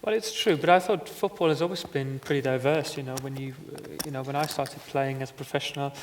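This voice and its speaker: A former footballer speaking as a guest on a conference panel, talking in a reflective, earnest way with many "you know"s. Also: male voice, medium pitch, fairly even intonation, quick pace, slight background noise. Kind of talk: conversational panel talk. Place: conference stage.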